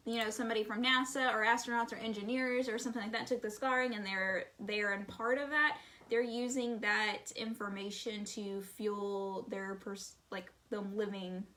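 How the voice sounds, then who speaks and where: A woman's voice: medium pitch, fairly even intonation, normal speed, hardly any pauses, pushed and bright. A woman chatting casually as co-host and reading out listener questions. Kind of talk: casual chat.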